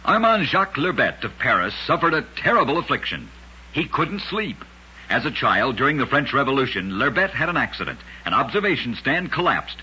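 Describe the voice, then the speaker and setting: A man's voice: mid-range voice, sharp tone, husky. A man hosting and narrating scripted old-time radio trivia stories in a dramatic, emphatic manner. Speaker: radio show host and storyteller. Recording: dull, lo-fi old radio recording.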